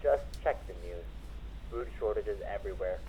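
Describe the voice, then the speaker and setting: A man playing a secretive friend giving warnings in a low-key phone conversation from a horror video. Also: animated, normal speed, warm tone, slight background noise, low-quality recording. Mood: guarded concern.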